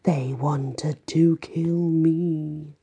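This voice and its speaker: A woman reading an audiobook, voicing a smug, unbalanced ancient male mummy with slow theatrical delivery. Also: low-pitched, fairly even intonation, creaky, warm tone, husky, exaggerated voice. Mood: unhinged, teasing. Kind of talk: audiobook character voice.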